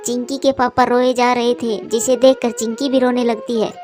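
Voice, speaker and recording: High-voiced woman narrating a children's story. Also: little pitch movement, normal speed, a little mellow, faint music behind.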